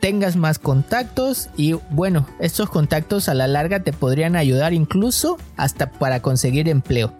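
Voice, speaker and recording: A man with a Mexican coastal accent co-hosting a podcast, chatting casually and telling stories in a relaxed, slangy way. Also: fairly high pitch, normal speed, few pauses, soft background music. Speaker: podcast co-host.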